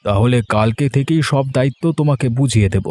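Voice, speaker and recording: A man's voice: medium pitch, fast, mellow, dry recording, close to an everyday voice. An actor playing a firm, reassuring older father who runs the family business in a cartoon story.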